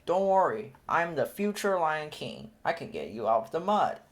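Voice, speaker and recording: A man's voice: on the high side, big pitch swings, moderate pace, voice pushed up. A man reading a storybook aloud, voicing a grumpy, proud young male lion cub in a voice close to his own. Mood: determined. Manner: sulky, grumbling.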